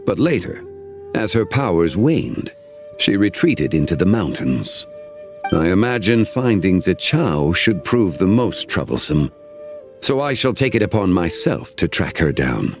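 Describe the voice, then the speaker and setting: Very deep, formal ancient immortal. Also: male voice, very animated, soft background music, heavily acted. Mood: calm, formal. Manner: measured, formal, archaic phrasing. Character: ancient, composed, formal male immortal, solemn and courteous. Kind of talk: scripted video game voice acting.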